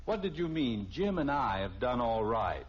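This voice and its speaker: A good-humoured middle-aged American husband, played by a male actor, grumbles and teases in old radio comedy style. His pitch swings widely. The recording is dull and a little noisy. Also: very animated, normal speed, natural voice. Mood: mock indignant. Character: teasing, indignant but good-humoured middle-aged family husband and father. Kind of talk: mid-century radio sitcom acting.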